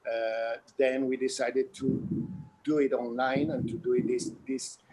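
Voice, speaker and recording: A man's voice: mid-range voice, steady tone, a little slow, a little mellow, a little hiss or hum, poor audio. A man with a Spanish accent, a theatre director explaining his work in a hesitant way at a Q&A. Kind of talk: explaining in a post-show Q&A.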